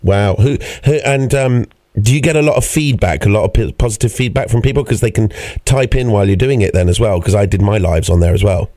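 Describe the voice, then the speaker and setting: A man's voice: on the low side, brisk, hardly any pauses, warm tone, no echo, studio quality. A man hosting a casual radio interview, chatty and given to repeating himself. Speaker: radio show host.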